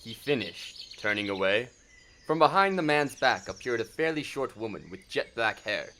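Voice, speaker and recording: A man's voice: mid-range voice, sing-song, normal speed, sharp tone, a little hiss or hum. A man reading scripted story narration for an audio drama.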